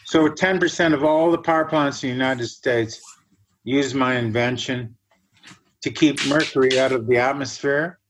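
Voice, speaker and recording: A man in his sixties, born in Germany, answering interview questions as a guest scientist in a measured, reflective way. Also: medium pitch, steady tone, slight background noise, poor audio. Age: 60s. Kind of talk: podcast interview.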